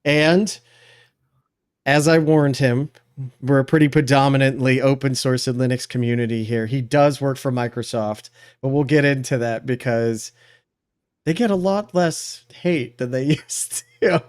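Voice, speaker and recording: A man's voice: mid-range voice, unhurried, smooth. A man hosting a show in a casual, informal and enthusiastic way. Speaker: podcast host.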